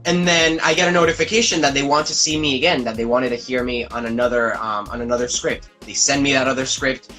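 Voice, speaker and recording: A man's voice: mid-range voice, faint music behind. A man from Cuba, an actor, telling stories in a casual interview, acting out quotes and laughing often. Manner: fast, animated storytelling that acts out quotes and laughs often. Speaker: actor guest.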